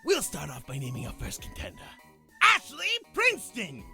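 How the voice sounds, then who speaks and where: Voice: medium pitch, wildly swooping pitch, moderate pace, gravelly, sharp tone, faint music behind, full character voice. An actor playing a flamboyant, teasing demon master of ceremonies in a visual novel, announcing with theatrical relish. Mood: showboating. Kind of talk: character acting in a visual novel.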